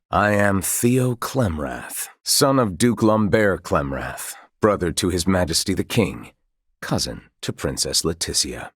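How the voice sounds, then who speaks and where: A noble knight officer from a fantasy video game, a duke's son with confidence and good humor. His pitch sits somewhat low but moves in lively, expressive swings. He chooses formal, archaic words, and the recording is dry and studio-clean. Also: husky, no room sound, full character voice. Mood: composed, gracious. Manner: formal, archaic, courtly phrasing. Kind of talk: video game character dialogue.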